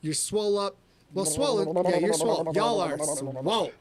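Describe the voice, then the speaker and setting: A man's voice: high voice, lively intonation, moderate pace, a crowd in the background. A man co-hosting a casual livestream, joking around with crude banter and teasing his wife. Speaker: podcast co-host.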